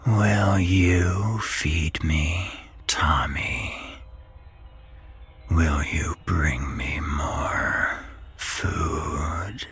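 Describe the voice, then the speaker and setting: A man voicing a hungry, menacing shadowy monster that whispers slowly in a horror story. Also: a bass voice, a bit bright, slightly rough, faint music behind, exaggerated voice. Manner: slow, drawn-out whispering.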